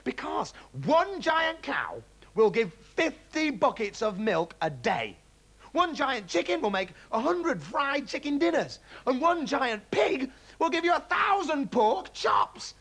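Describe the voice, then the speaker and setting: A man voicing an excitable, scheming middle-aged farmer father who gushes about his plans. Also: sits high, normal speed, creaky, breathy edge, pitched above the performer's own voice, full character voice. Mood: overexcited. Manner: breathless, gushing enthusiasm.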